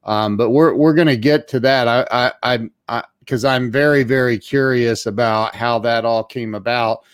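A man's voice: medium pitch, steady tone, normal speed, clean tone, no room sound, studio quality. A man hosting an interview show, casual and enthusiastic, often repeating words as he goes. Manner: enthusiastic, repeats words and says wow a lot. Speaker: show host.

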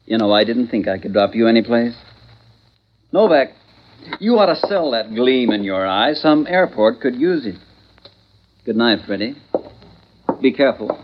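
Male actor as smug schemer, mid-pitched American voice, sardonic, condescending, muffled vintage radio sound. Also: animated, normal speed, pauses often, a little hiss or hum, natural voice. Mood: coolly sardonic. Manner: smooth condescending wisecracks. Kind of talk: 1940s radio noir acting.

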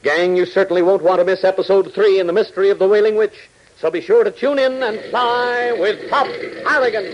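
A man's voice: high-pitched, fairly even intonation, fairly quick, hardly any pauses, dull audio. A man working as a 1940s radio announcer, reading scripted narration in a dramatic, emphatic style.

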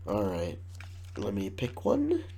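A man's voice: lowish voice, huge pitch swings, takes it slowly, vocal fry, warm tone. Man, nasal, congested, casual podcast host, jokey, reads aloud. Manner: jokey, laughs often, reads questions aloud. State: congested, sick.

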